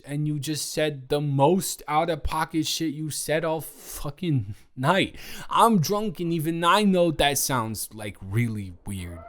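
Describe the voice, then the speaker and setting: A man playing a drunk young roommate secretly in love, rambling and stammering defensively in an improvised roleplay audio drama. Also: on the high side. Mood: flustered, exasperated.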